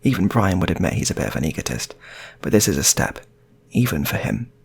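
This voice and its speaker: A man's voice: low-pitched, sing-song, fairly quick, creaky, husky. A man telling an improvised story in a casual, rambling way, often repeating his phrases. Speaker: storyteller, channel host.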